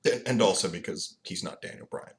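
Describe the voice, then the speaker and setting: A man co-hosting a podcast in casual chat, animated and profane, laughing often. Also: low-pitched, a little fast. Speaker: podcast co-host.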